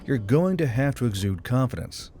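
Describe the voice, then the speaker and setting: A man voicing a calm male soldier in an audiobook, firm but gentle, speaking low and measured. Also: natural voice. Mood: gently firm.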